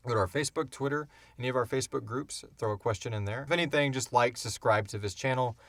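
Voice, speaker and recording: A man co-hosting a podcast, answering questions in casual, informal, halting talk. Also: medium pitch, a bit bright, no echo. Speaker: podcast co-host and show creator. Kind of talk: casual Q&A chat.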